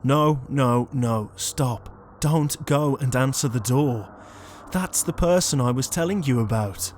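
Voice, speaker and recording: A man's voice: mid-range voice, slow, slight background noise, close to an everyday voice. A man playing an exasperated, conscientious ghost in a comedic audio roleplay, flustered, hesitant and pleading. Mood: exasperated, urgent. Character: exasperated, conscientious male ghost, murdered shut-in.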